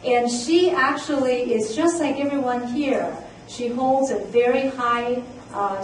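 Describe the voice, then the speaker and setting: A woman's voice: fairly high pitch, slight background noise. A woman presenting at a conference, speaking earnestly with frequent fillers. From immigrant. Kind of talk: conference talk. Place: conference room. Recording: echoey room, mic from far off.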